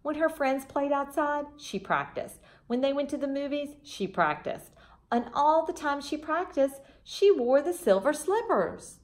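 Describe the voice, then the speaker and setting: A woman's voice: high voice, very animated, measured pace. Woman reading a picture book aloud. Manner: clear teacherly storytelling with simple asides. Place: front room of a house, indoors.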